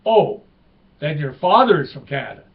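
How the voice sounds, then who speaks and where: A man's voice: a little high, big pitch swings, moderate pace, gravelly, highs cut off. A man voicing a puzzled Norwegian tourist who speaks broken English.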